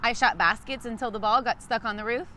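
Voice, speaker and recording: A woman reads a children's story aloud in a bright, forward voice. She is animated and leans on key words with pauses. There is some faint background noise in the recording. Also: on the high side. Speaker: storybook reader. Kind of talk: expressive read-aloud of a children's picture book.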